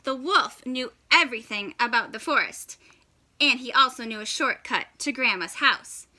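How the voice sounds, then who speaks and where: Woman storyteller, very bright voice, lively swooping pitch, expressive children's read-aloud, dramatic pauses.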